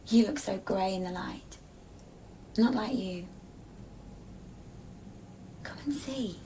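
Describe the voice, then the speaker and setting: An actress playing a wistful, uncertain woman in an intimate radio drama, her speech soft and halting. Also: mid-range voice, a little hiss or hum, natural voice. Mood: dreamy, wondering.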